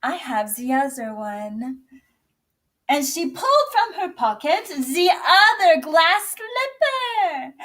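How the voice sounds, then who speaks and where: A woman reading a children's story aloud in an expressive, theatrical, deliberate way. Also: high-pitched. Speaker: English teacher and drama director reading aloud.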